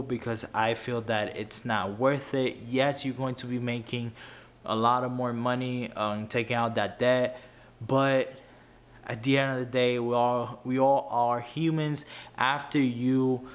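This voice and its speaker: Earnest Spanish-speaking man, stumbling delivery. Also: medium pitch. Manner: earnest, stumbling with many restarts. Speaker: YouTuber. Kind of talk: unscripted finance advice to camera.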